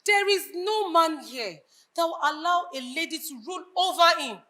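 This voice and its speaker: A woman's voice: very high voice, animated, normal speed, sharp tone, full character voice. An actress playing an indignant, earnest woman in a stage play, with a Nigerian accent and an emphatic, declamatory delivery. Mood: resigned. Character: indignant, earnest Nigerian woman lamenting her country's ills. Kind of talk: projected stage play acting.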